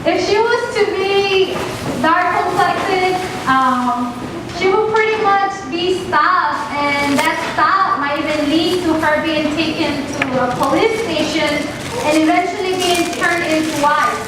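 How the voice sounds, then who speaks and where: Woman, Mexican accent, high voice, classroom talk, earnest questions, distant echoey recording. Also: slow pace, background noise. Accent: Mexican Spanish. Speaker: guest speaker to a class. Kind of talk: classroom presentation.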